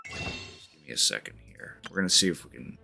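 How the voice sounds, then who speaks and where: A man giving casual livestream game commentary, informal and a bit halting as he talks. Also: extremely low pitch, sing-song, creaky, sharp tone. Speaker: game streamer. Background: game music.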